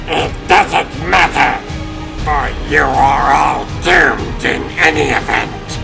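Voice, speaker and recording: A man playing a pompous, theatrical starfish alien conqueror in a comic dub, gloating in a gravelly voice over music. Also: a little high, slow, exaggerated voice. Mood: gloating, menacing.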